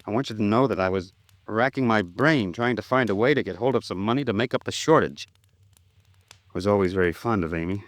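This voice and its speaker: An uneasy, courteous American businessman, acted by a man in a vintage radio drama. His voice sits a little low and he speaks haltingly, recorded dry. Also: no room sound, close to an everyday voice. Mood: awkwardly grateful. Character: polite, uneasy male company man hiding guilt. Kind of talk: 1950s radio drama acting.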